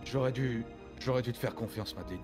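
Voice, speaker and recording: A man playing a middle-aged farmer father, protective and remorseful, in halting, plain-spoken French game roleplay. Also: medium pitch, close to an everyday voice. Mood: remorseful, tender. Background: music.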